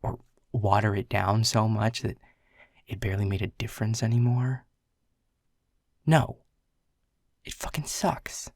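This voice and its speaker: A man's voice: fairly low pitch, steady tone, measured pace, creaky, long pauses, darkish tone, no echo, clean studio sound. A man playing a world-weary male middle manager who is blunt and swears, confessing in a half-whisper. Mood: frustrated. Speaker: boss. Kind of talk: audio roleplay acting.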